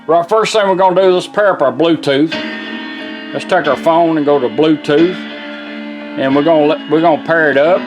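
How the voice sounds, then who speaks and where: A man's voice: a little high, moderate pace, over music, low-quality recording. A man giving a casual, hands-on product demonstration in a folksy, plain-spoken way.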